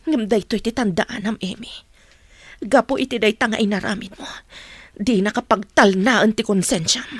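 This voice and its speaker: A woman's voice: medium pitch, moderate pace, breathy edge, dry recording, close to an everyday voice. A woman with an Ilocano accent playing an outspoken, protective family friend in a radio drama, earnest and emphatic. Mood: indignant. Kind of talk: Filipino radio drama acting.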